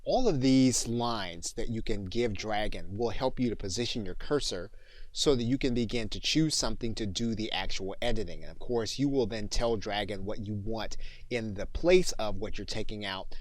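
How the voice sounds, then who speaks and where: A man with a fairly low voice presents a scripted tutorial as an instructor. He explains each point in a methodical way. The sound is very dry, with no room echo. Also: moderate pace. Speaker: course instructor.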